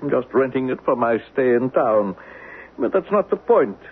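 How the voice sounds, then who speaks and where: A man's voice: mid-range voice, big pitch swings, normal speed, breathy edge. An actor in a 1970s radio drama playing an irritable, guarded man who is curt, skeptical and sardonic. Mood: grudging. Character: irritable, guarded, dismissive man hosting an unwanted visitor. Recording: thin narrowband old radio recording.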